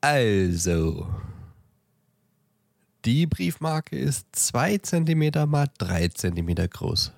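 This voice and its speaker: A man's voice: mid-range voice, very animated, slow pace, vocal fry, no room sound, clean studio sound, exaggerated voice. A man playing an obliging, flustered post office clerk who stammers hesitantly in bemusement in an amateur audio drama.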